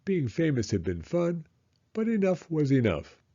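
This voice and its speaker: A man reading a children's story aloud in a deliberate, expressive storytelling style. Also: on the high side, lo-fi sound. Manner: deliberate, acts out character voices. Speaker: children's audiobook reader.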